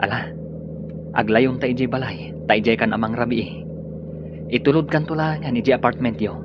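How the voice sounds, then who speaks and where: A man's voice: mid-range voice, moderate pace, lo-fi sound, noisy background, lightly acted. A man playing a devoted, affectionate young suitor in a radio drama, speaking coaxingly with an Ilocano accent. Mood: reassuring.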